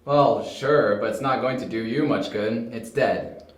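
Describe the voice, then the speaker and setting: A man's voice: medium pitch, a little slow, lightly acted. A man playing a detective's easygoing, tech-savvy brother in an amateur audio drama, dry and unruffled. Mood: dryly amused. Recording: echoey room, amateur home recording.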